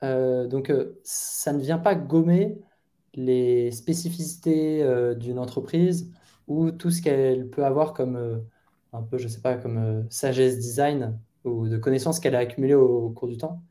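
A man's voice: mid-range voice, measured pace, mellow. A man in his thirties, a guest product manager, answering interview questions from his bedroom over a headset on a video call, thoughtful and hesitant with many fillers and restarts. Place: bedroom at home.

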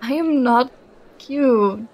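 Woman acting husky, flustered nerdy girlfriend. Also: on the high side, muffled recording. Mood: flustered, bashful. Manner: bashful, halting, playfully protesting. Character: flustered, nerdy, affectionate young girlfriend on a date. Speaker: ASMR roleplay performer. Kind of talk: ASMR girlfriend roleplay.

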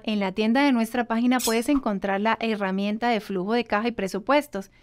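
A woman in her thirties presents scripted advice in a fairly high voice. She speaks directly to the viewer as an instructor, setting out each point in an orderly, structured way. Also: smooth. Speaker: presenter of business advice videos. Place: indoor studio in front of a backdrop.

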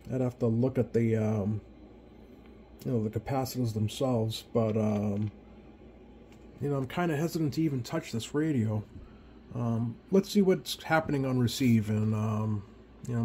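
A man giving a casual, unscripted hands-on demonstration to viewers, halting and thinking aloud as he works. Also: mid-range voice, warm tone, slight background noise. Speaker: radio repair hobbyist, video host. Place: indoor home electronics workbench.

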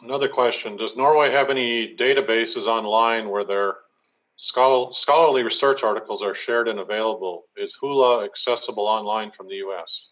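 A middle-aged man moderating an online talk, reading audience questions aloud in an even, steady way. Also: on the low side, moderate pace. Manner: steady, reads questions evenly. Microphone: webcam on a video call. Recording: slightly dull video call audio.

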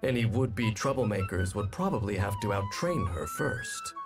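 A man giving scripted narration for a game showcase in a measured, polished announcer manner. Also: fairly low pitch, huge pitch swings. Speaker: trailer narrator. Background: music.

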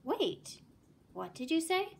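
Woman playing an excitable old male scientist. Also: sits high, slow pace, gravelly, pitched above the performer's own voice, exaggerated voice. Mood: startled, excited. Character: elderly male scientist uncle, excitable, frantic, brilliant.

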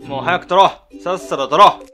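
A man's voice: high-pitched, a little fast, bright, forceful tone, soft background music. A man playing a cocky, short-tempered senior office worker in a comedic radio drama, swaggering and then grumbling under strain. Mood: fuming.

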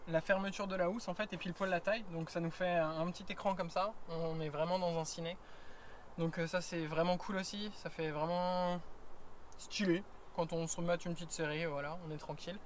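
A man's voice: fairly high pitch, steady tone, brisk, slight background noise. A man in his thirties giving a casual vlog walkthrough, chatty with many fillers, filmed on an action camera. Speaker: travel vlogger. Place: roof tent on a car, then outdoors in bush.

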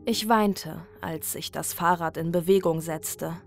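A woman narrating a scripted first-person horror story in a measured, dramatic manner. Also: lowish voice, vocal fry, faint music behind.

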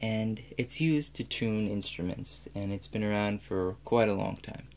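Slow, soft-spoken young man, ASMR doctor. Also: fairly low pitch. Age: 20s. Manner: calm, measured, instructing the listener step by step. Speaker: role-player acting as an examining doctor. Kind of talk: soft-spoken ASMR medical role play. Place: small room indoors. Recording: clear steady background hum.

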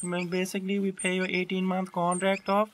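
A woman's voice: fairly low pitch, fairly quick, slight background noise, natural voice. A woman playing an obtuse, persistent phone saleswoman on a prank call, repeating herself in muddled jargon. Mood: businesslike. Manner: muddled, repetitive, flat delivery. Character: obtuse, persistent female mobile network saleswoman.

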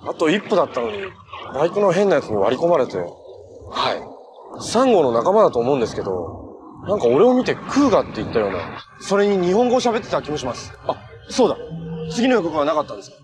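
An actor playing a cheerful, earnest, easygoing young hero in a TV drama, talking in a casual, boyish way. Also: male voice, fairly high pitch, very animated, fast talker, music in the background. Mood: earnest, thoughtful. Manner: casual, friendly, boyish phrasing. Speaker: actor in a TV superhero series. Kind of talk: naturalistic TV drama acting.

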